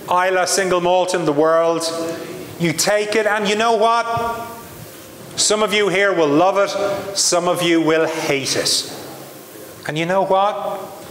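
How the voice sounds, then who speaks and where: Irish man pitching whisky in an echoey hall. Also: slight background noise. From Ireland. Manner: lively salesman patter, audience asides. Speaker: brand ambassador presenter. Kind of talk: live trade presentation.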